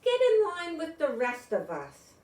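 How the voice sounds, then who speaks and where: Woman playing a loud, grouchy old man, roomy sound. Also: very high-pitched, huge pitch swings, a little slow, pitched above the performer's own voice, exaggerated voice. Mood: grouchy, impatient. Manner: brusque, demanding. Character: grouchy, impatient old man in a checkout line.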